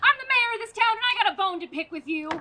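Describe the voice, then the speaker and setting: A woman's voice: extremely high pitch, lively intonation, fast. A woman playing a brash, swaggering mayor turned action hero, bombastic and hammy in a comic stage radio play. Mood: defiant. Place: outdoors in a parking lot. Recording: outdoor stage recording, noisy background.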